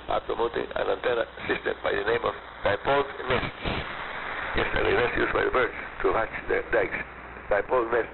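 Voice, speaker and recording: Through a thin, noisy shortwave signal comes a male radio host with a Cuban Spanish accent. His voice is deeply creaky and he reads at a brisk clip. He presents a scripted hobby program, stressing and repeating phrases for his listeners. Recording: noisy, narrowband shortwave radio broadcast reception.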